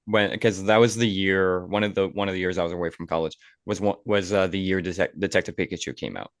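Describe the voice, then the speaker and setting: Young man, deep voice, podcast host, casual, rambling, dry sound. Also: steady tone, quick pace, dry recording.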